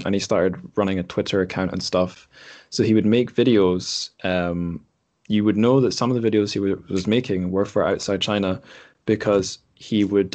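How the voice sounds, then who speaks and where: A man's voice: deep voice, darkish tone. A man hosting a podcast in casual conversation, speaking hesitantly and correcting himself as he goes. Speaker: podcast host.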